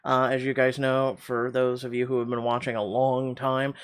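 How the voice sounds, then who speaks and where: A man's voice: medium pitch, monotone, moderate pace, smooth. A middle-aged man with a slightly tight throat, reading a story game aloud and rambling with wry asides and many fillers. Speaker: game channel host.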